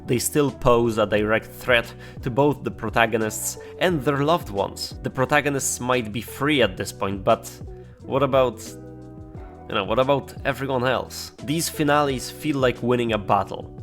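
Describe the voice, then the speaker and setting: A man delivers a scripted commentary in a wry, opinionated way and swears now and then. His pitch sits in the middle range, and faint music plays underneath. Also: moderate pace. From Poland. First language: Polish. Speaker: video essayist.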